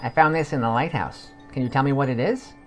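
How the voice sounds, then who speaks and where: A man's voice: mid-range voice, quick pace, soft background music, voice pushed up, close to an everyday voice. Man voicing a wry, inquisitive woman adventurer. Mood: curious.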